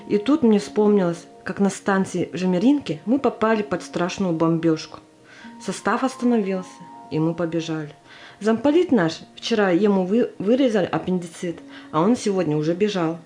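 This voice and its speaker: A woman's voice: medium pitch, darkish tone, natural voice. A woman playing a weary but determined wartime surgeon, recalling the war in a confiding monologue for an amateur audio drama.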